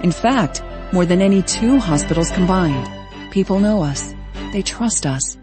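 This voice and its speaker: Calm woman reading an ad over music. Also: fairly low pitch, a little slow. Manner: calm, serious reassurance. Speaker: commercial announcer. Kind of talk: scripted radio ad read.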